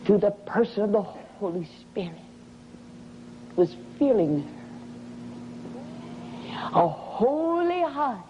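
A woman preaching a revivalist sermon in a dramatic, emphatic manner, drawing out words and dropping into breathy whispers, in a sanctuary. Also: mid-range voice, big pitch swings, slow, pauses often. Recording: dull, noisy lo-fi archival sermon recording.

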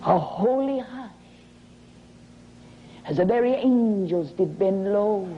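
A woman preaching a revivalist sermon in a dramatic, emphatic manner, drawing out words and dropping into breathy whispers, in a sanctuary. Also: mid-range voice, expressive, slow pace. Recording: dull, noisy lo-fi archival sermon recording.

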